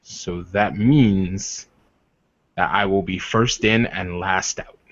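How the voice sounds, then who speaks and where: A proud werewolf war leader, acted out by a man at a tabletop game, speaks low and very slowly. He is solemn, earnest and commanding, on a dull recording. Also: fairly even intonation, a bit bright, dry recording, exaggerated voice. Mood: solemn, resolute. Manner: solemn, deliberate, formal phrasing.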